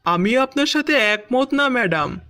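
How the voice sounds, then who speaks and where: A woman's voice: a little high, animated, moderate pace, fairly bright tone. A woman voicing a cheeky, smart-alecky schoolboy with mock-respectful answers in a cartoon joke sketch. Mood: cheeky, matter-of-fact. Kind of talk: Bangla cartoon joke dialogue.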